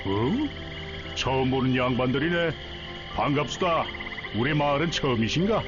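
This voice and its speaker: A man's voice: medium pitch, expressive, normal speed, close to an everyday voice. A man voicing a talkative, rustic middle-aged harbor villager in a Korean game story, with familiar, blunt speech. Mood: friendly. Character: chatty, folksy middle-aged male port villager. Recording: dull sound under music.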